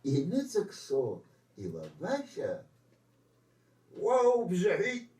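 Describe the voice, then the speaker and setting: A man's voice: high-pitched, wildly swooping pitch, drawn-out words, mellow. A man, a voice actor talking casually and reading verse at a cartoon session. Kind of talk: casual talk and verse read-throughs between takes. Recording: roomy, distant microphone.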